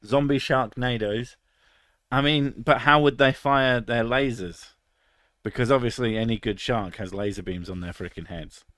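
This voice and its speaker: A man's voice: mid-range voice, normal speed, fairly bright tone, no echo, clean studio sound. A British man in his thirties chatting casually on a stream, crude and sarcastic, swearing freely. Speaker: streamer reading chat. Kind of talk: casual live stream chat.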